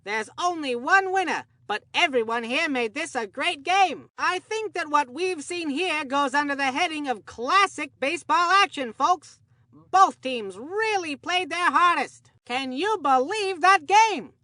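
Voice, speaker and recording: Naive little boy ballgame commentator, cartoon game, bright and forceful, super earnest and folksy, dry recording. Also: high-pitched, lively intonation, unhurried, no echo, exaggerated voice. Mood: upbeat, earnest. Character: excitable, naive boy baseball commentator, chipper and goofy.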